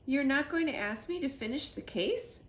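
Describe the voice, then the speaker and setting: A woman's voice: high-pitched, expressive, normal speed, reverberant, voice pushed up. A woman voicing an earnest, exasperated young boy spy in a children's storybook, with an indignant manner. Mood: sulky, indignant. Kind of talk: children's storybook read-aloud.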